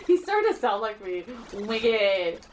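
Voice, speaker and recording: A woman's voice: on the high side, big pitch swings, a little slow, a little hiss or hum. A woman chatting casually and teasingly while watching a retro game being played. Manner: playful, teasing, giggly. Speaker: co-host watching a playthrough. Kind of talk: casual gaming chat.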